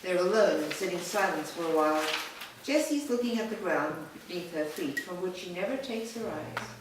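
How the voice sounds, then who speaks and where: Lowish woman narrating in echoey room. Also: lively intonation, normal speed. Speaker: narrator reading stage directions. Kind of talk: scripted narration. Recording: echoing room, distant mic, clear background noise.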